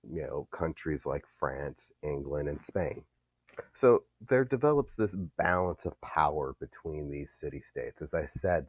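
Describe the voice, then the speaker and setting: A man's voice: a bass voice, a little mellow, dry recording. A male history teacher giving a recorded lecture, explaining steadily with some fillers.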